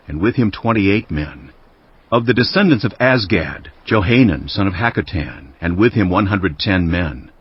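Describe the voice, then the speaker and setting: A man narrating scripture as a dramatized first-person account, solemn and declamatory, with deliberate phrasing. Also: on the low side, breathy edge, no echo. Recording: dull lo-fi audio with music bed. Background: background music.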